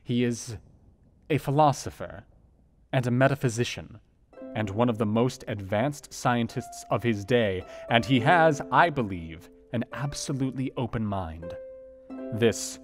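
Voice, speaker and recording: A man performs a Victorian doctor in a radio play, earnest and formal. He delivers his letter at a measured step in a middling register. Plucked music plays behind him. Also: mid-range voice, animated, natural voice. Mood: earnest, concerned. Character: earnest male Victorian doctor writing to a friend. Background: music with plucked guitar.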